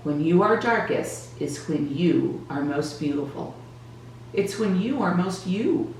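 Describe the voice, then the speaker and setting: A woman's voice: fairly low pitch, expressive, takes it slowly, a little mellow. A woman voicing a loving, earnest daylight spirit, a sister figure in a children's storybook, in an echoey recording. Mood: tender. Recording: roomy echo, distant mic, faint noise.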